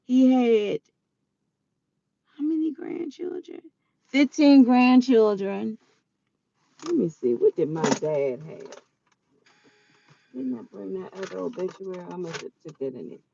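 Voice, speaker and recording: A woman around forty telling a personal story in a chatty, animated way, quick to laugh. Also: mid-range voice, vocal fry, muffled recording, slight background noise. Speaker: vlogger.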